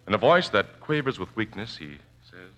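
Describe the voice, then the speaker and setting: Casting: male 1940s radio announcer-narrator. Low, formal, dramatic, widely swinging pitch, music underneath. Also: big pitch swings, moderate pace, fairly bright tone. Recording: dull vintage radio recording, music behind.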